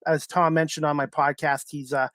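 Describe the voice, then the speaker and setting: A man from Canada with a slightly high voice hosts a casual livestream podcast. He rambles haltingly and circles back on himself, recorded very dry with no room sound. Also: flat delivery, fairly bright tone, no echo. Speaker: podcast host.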